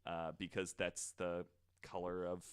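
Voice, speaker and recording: A man's voice: sits low, monotone, unhurried, a crowd in the background. A man hosting a podcast, telling stories in a casual way and slipping in wry, jokey asides. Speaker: podcast host. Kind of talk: casual storytelling with jokes.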